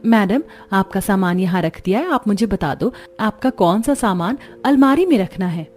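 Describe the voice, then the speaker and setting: A woman's voice: mid-range voice, lively intonation, normal speed, a little mellow, natural voice. A woman voicing a deferential, slightly anxious housemaid in a cartoon.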